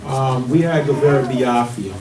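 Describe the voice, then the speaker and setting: A man moderating a congregation discussion, animated when he quotes and hesitant at times. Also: medium pitch, moderate pace, a crowd in the background. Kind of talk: group discussion. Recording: distant mic in an echoey room.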